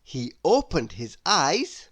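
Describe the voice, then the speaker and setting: A woman reading a children's story aloud as an expressive, unhurried storyteller. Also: low voice, slightly rough. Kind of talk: children's read-aloud narration.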